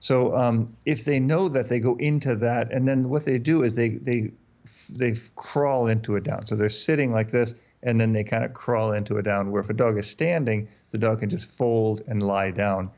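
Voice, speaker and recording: A man's voice: medium pitch, steady tone, moderate pace, a little mellow, lo-fi sound. A man in his fifties, a native German speaker, explaining dog training on a video call, often restarting and repeating words. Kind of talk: interview guest on a video call. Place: dark room indoors. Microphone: headphones and desk mic on a video call.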